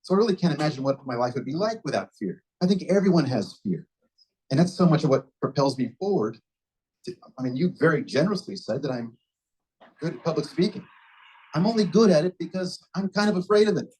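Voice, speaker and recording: A man's voice: on the high side, animated, a little fast, a little mellow, slightly rough, low-quality recording. A man giving an impromptu answer at a club meeting, reflective and often restarting his sentences. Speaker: club member answering a question. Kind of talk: impromptu speech.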